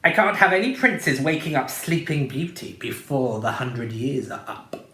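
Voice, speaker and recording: A man's voice: medium pitch, very animated, moderate pace, fairly bright tone, roomy sound. A man doing a cackling, mocking witch.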